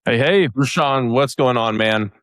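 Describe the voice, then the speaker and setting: A man's voice: mid-range voice, a little slow, a bit bright. A man hosting a casual remote interview, asking upbeat, rambling questions. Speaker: podcast host.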